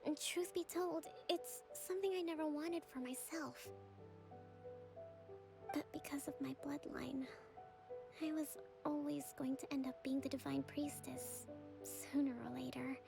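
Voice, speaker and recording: Worn-out gentle priestess: soft, breathy, high with a little fry, faint game music under her. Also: fairly even intonation, unhurried. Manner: soft, tired, often breathy near-whisper. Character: young priestess leader, gentle, weary and soft-spoken. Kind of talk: game voice acting.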